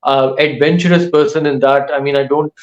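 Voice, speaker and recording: Man with a thoughtful, halting delivery. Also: fairly high pitch, steady tone, dull audio. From Pakistan. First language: Urdu and Saraiki. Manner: thoughtful, pauses often mid-sentence. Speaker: author and writing teacher. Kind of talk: casual interview answers.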